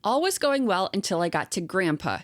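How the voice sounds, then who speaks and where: A woman co-hosting a podcast, chatting casually and reading online stories aloud in a steady storytelling way. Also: medium pitch, a little fast, no echo. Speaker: podcast co-host.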